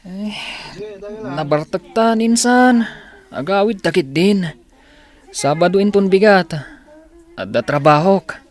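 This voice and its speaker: A man's voice: sits high, expressive, slow pace, long pauses. A man with an Ilocano accent playing a shy, lovestruck, hardworking village young man in a radio drama, hesitant and stammering when put on the spot. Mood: earnest.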